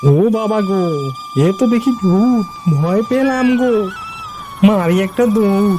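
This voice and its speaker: A man's voice: high voice, a little slow, background noise, pitched above the performer's own voice. A man voicing a cheeky, fearless schoolboy in a cartoon, talking in a defiant, dismissive way. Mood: feigned fright. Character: cheeky fearless schoolboy who is bad at maths. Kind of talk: cartoon character dialogue.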